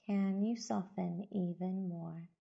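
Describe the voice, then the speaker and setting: A woman guiding a body scan meditation as a yoga teacher, giving gentle, soothing instructions with long pauses. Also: lowish voice, steady tone, vocal fry, lo-fi sound.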